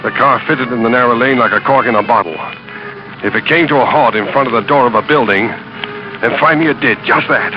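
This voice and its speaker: An actor playing a hard-boiled American fugitive in a radio thriller, tense and slangy, with clipped dramatic delivery. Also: male voice, medium pitch, fast, over music, lightly acted. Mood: suspenseful narration. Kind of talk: 1940s radio acting, dramatic first-person narration. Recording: dull old radio recording.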